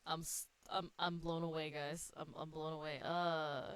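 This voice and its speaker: A woman's voice: sits low, level tone, normal speed, fairly bright tone, clean tone. A woman streamer giving casual live commentary on a video game and reading its text aloud.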